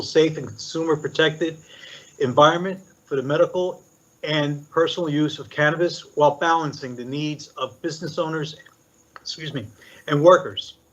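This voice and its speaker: A man's voice: a little high, a bit bright. Older man giving formal remarks, lo-fi. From Puerto Rico. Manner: measured, deliberate delivery with listed points. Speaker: commissioner. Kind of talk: formal prepared statement at a public meeting. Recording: dull lo-fi meeting recording.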